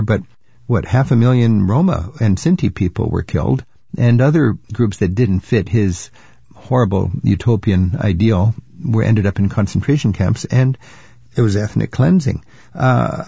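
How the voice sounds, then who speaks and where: A man's voice: fairly low pitch, vocal fry, mellow, slightly rough, dry recording, dull audio. An older man hosting a travel radio show, chatty and curious, thinking aloud with fillers as he asks questions. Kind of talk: radio interview.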